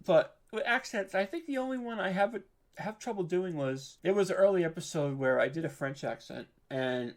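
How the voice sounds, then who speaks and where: A man's voice: high-pitched, animated, moderate pace. An American man from New York giving a casual interview as a podcast creator, chatty, informal and self-deprecating. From New York, United States.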